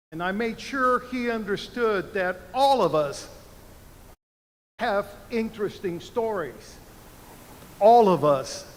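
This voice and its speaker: A man in his seventies, born in Cuba, addresses graduates from a podium microphone. His voice sits fairly high for a man and moves at an unhurried, measured pace. The arena's long reverb trails after each phrase. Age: 70s. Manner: slow, deliberate oratory with emphatic pauses. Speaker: commencement speaker. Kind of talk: commencement speech. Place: large university arena.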